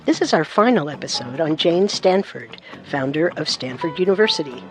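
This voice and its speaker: Measured female podcast host. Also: sits low, animated, soft background music, low-quality recording. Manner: clear, measured storytelling. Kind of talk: scripted narration.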